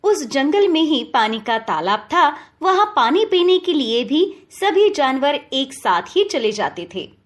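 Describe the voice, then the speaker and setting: A woman's voice: high-pitched, sing-song, takes it slowly, hardly any pauses. A woman narrating a scripted children's story as the storyteller.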